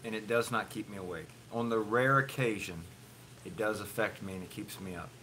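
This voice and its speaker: A man's voice: on the low side, fairly quick, pushed and bright, a little hiss or hum, poor audio. A man making brief, dry side remarks during a casual family live stream.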